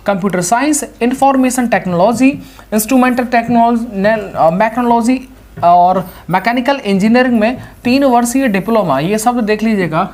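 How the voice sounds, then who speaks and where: A woman giving an informal explainer to viewers as host, reading out and explaining in a repetitive, direct way. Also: medium pitch. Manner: repetitive, explanatory, direct address with verbal tags. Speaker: host of exam update videos.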